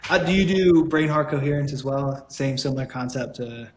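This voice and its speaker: Enthusiastic man host in a roomy space. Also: mid-range voice. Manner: enthusiastic, many quick agreements. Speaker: podcast host. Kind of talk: casual interview chat. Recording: roomy, faint background noise.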